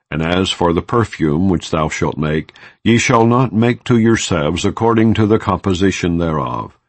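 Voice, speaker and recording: A man narrating an audiobook of scripture in a solemn, measured, formal manner. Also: fairly low pitch, dry recording. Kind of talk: scripture reading, non-dramatized audiobook narration.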